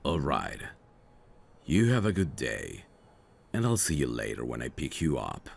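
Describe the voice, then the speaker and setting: An extremely low, crackling voice of a mafia boss played by a man in an ASMR roleplay drama. He takes his time and swings smoothly through his pitch, teasing and flirting with a quiet threat underneath. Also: huge pitch swings, full character voice. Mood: calm, fond. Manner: teasing, unhurried, suave. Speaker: voice actor in an audio roleplay.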